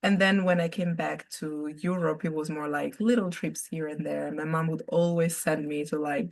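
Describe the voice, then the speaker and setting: A woman from Italy, Italian her first language, talking in English as a podcast guest. Her voice sits very low with a gravelly, fry-tinged edge. She chats in a relaxed, open way and laughs easily. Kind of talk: casual conversational interview.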